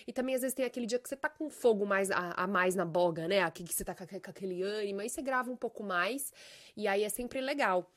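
A woman's voice: medium pitch, quick pace. A Brazilian woman hosting her own podcast, chatting casually and expressively with a nasal, congested voice from a sinus infection. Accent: Brazilian Portuguese. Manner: chatty, expressive, full of fillers. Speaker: podcast host and life coach. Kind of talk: casual solo podcast monologue.

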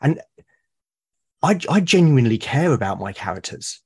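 A British man with a husky, slightly breathy voice at an ordinary pitch, speaking as a podcast guest. He talks earnestly, halting and repeating words as he gathers his thoughts. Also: very animated, a little mellow. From Britain. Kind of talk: conversational interview.

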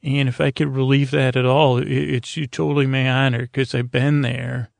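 A man hosting a bedtime podcast in a casual, meandering monologue, halting and self-deprecating, often trailing off mid-thought, with a gravelly voice. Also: medium pitch, level tone, no room sound. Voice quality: gravelly, creaky. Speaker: podcast host.

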